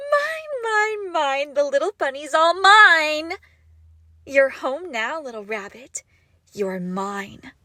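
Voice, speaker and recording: A woman voicing an obsessive, icy and menacing crime-boss ex-girlfriend in an intimate audio roleplay, speaking deliberately with swings between whispers and outbursts. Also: on the high side, sharp tone, no room sound, clean studio sound, heavily acted. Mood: manic, possessive.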